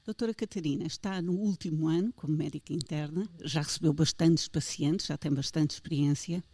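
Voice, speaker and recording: A woman's voice: deep voice, fairly even intonation, a little fast, warm tone. A woman with a European Portuguese accent hosting a health podcast, interviewing a guest with playful, theatrical questions. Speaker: podcast host.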